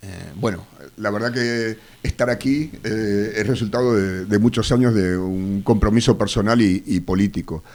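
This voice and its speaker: A man from Argentina with an Argentine accent, a guest on a radio show, speaking with a cold in a measured, hesitant way, full of fillers. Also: on the low side, steady tone, darkish tone. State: has a cold. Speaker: activist guest. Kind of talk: radio interview. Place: radio studio.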